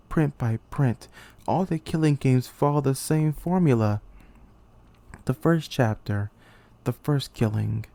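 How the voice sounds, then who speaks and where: Man acting a despairing young commander, creaky voice. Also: mid-range voice, warm tone, dry recording, close to an everyday voice. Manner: theatrical, halting, anguished delivery. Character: despairing, betrayed young male military commander in a deadly game. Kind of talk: dramatic monologue, anime-game fan voice reel.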